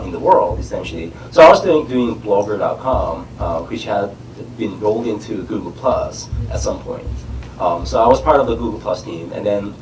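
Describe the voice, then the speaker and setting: A middle-aged man from Korea with a Korean accent, a startup founder speaking in a halting way with many fillers while nursing a cold and a numbed tongue. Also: lowish voice, a little mellow, echoey room, far from the mic, slight background noise, low-quality recording. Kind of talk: casual onstage chat. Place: conference stage in a large room.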